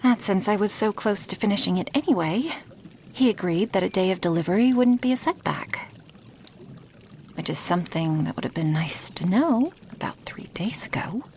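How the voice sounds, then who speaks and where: A woman voicing an affectionate female dragon who brews potions, in a soft, intimate ASMR roleplay with breathy half-whispers and sighs. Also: medium pitch, animated, normal speed, slight background noise, natural voice. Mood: weary, confiding. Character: affectionate female dragon, a potion maker tending her human guest.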